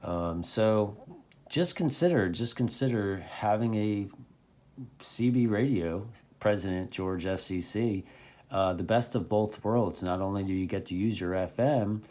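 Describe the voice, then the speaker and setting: A man giving a casual, conversational product recommendation in a halting way, often repeating his words. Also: low-pitched, darkish tone. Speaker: CB radio hobbyist presenter.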